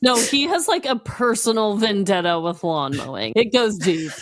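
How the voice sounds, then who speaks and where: A woman's voice: medium pitch, animated, normal speed, no echo. A woman co-hosting a podcast, with casual questions and banter. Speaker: podcast co-host.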